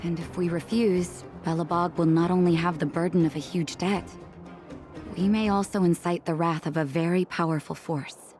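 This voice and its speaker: A woman voicing a calm, thoughtful, caring woman in a video game, measured and hesitant. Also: on the low side, faint music behind, close to an everyday voice. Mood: thoughtful, resolute.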